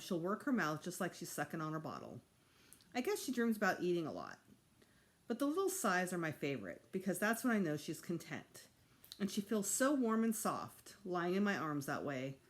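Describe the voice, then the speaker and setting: A woman teacher giving an expressive read-aloud of a children's story, with emphatic delivery. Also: lowish voice. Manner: animated, acts out dialogue with emphasis. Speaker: teacher reading a children's novel to students.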